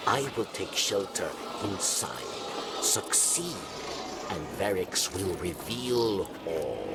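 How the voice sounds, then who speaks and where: Wily alien creature, super gravelly, slow halting speech, pleading, sounds a bit far off, video game. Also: male voice, sits low, full character voice. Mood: urgent, pleading. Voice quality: gravelly, croaking. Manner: halting, theatrical, refers to himself by name. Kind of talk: dramatic video game cutscene acting.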